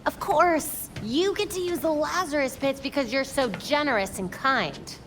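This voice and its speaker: An actress playing a young female vigilante hero in a video game cutscene, blunt, sharp and sarcastic. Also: sits high, a little slow, a little hiss or hum. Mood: bitter, sarcastic.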